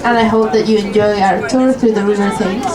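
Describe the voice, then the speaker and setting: A woman's voice: mid-range voice, steady tone, fairly quick, a loud crowd. A young woman from Spain with a Spanish accent, giving live commentary as a tour guide on a river cruise boat, friendly and lightly joking.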